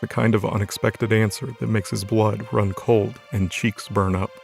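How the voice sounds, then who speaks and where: A man narrates an audio drama in a low, gravelly voice. His scripted storytelling stays fairly even in pitch, with music playing clearly underneath. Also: normal speed.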